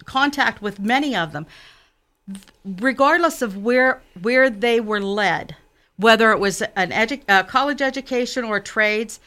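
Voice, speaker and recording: A middle-aged woman from Western Pennsylvania, a political candidate answering interview questions earnestly, often pausing and restarting. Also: mid-range voice, sharp tone, clear, resonant tone. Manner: earnest, halting with fillers and restarts.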